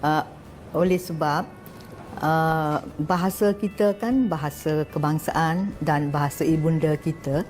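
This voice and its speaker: A woman's voice: deep voice, fairly even intonation, measured pace, darkish tone, faint music behind. A woman, a professor answering in a TV interview, hesitant and regretful.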